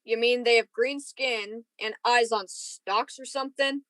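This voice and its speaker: A woman's voice: fairly high pitch, steady tone, a little slow, fairly bright tone. A woman playing an edgy, impatient young lieutenant in a science fiction radio play, with a casual, restless delivery. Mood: curious.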